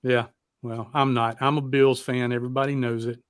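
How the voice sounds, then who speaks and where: Low-key American man, blunt rambling talk. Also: little pitch movement, moderate pace, clean tone, no echo, clean studio sound. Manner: blunt, heavily profane, rambling. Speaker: co-host of a rock and metal talk show. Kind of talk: casual chat.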